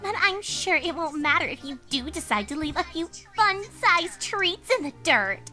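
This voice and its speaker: High, bright, snooty bully filly. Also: female voice, lively intonation, normal speed, faint music behind, full character voice. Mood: smug, taunting. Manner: smug, taunting. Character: snide, spoiled rich little bully filly. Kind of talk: cartoon-style fan fiction dramatization.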